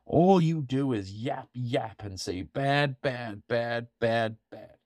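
A man's voice: mid-range voice, slow pace, darkish tone, no echo, studio quality, close to an everyday voice. A man voicing a greedy, stubborn male factory owner who blusters back defiantly.

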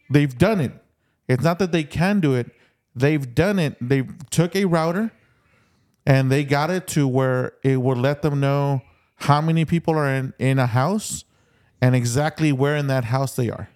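A man's voice: mid-range voice, measured pace, darkish tone. A man in a casual chat, explaining things as a podcast co-host and restating his points for emphasis.

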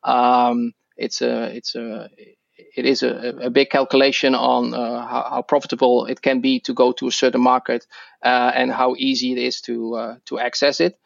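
Middle aged dutch guy, gravelly voice, halting w lots of restarts, dry dull mic. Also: medium pitch, flat delivery, dry recording. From Netherlands. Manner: halting, many restarts and fillers. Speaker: guest. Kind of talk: podcast interview.

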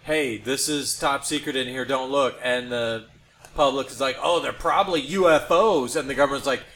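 A man's voice: medium pitch, normal speed, fairly bright tone. American man chatting over noisy background. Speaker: podcast co-host. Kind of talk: casual conversational podcast chat. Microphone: podcast microphone. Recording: noisy background in podcast recording.

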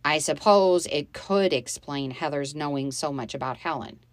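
A woman's voice: low-pitched, normal speed, no echo, close to an everyday voice. A woman voicing a skeptical, thoughtful boy in an audiobook story. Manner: thoughtful, reasoning.

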